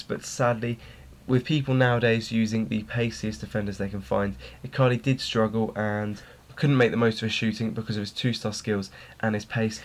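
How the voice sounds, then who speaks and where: A young man reviewing a video game player card in an informal way, his voice slightly worn as he is losing it. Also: medium pitch. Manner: informal, repeats words for emphasis. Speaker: gaming video host.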